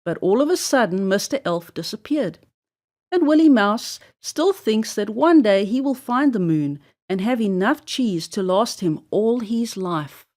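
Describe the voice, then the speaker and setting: A woman narrating a children's story aloud in an expressive, deliberate storybook style. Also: medium pitch.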